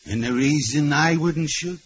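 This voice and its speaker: A man's voice: a little high, unhurried, close to an everyday voice. A man playing a big, tough convict ringleader, defiant and challenging, with slangy gangster talk in an old radio crime drama. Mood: challenging, suspicious. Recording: dull, noisy vintage radio recording.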